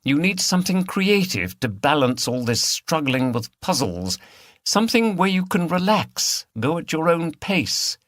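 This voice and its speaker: Guy putting on a brisk chiding woman for an audiobook, voice pushed up high, frank and teasing. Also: sing-song, fairly bright tone, dry recording, studio quality. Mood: frank, encouraging. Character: brisk, teasing woman, partner of a weary detective.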